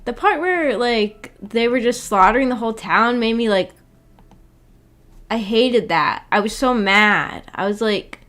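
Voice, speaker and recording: A woman's voice: fairly high pitch, animated, a little slow, fairly bright tone. A woman hosting a livestream in a casual, rambling way, hesitant as she thinks aloud. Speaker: livestream host.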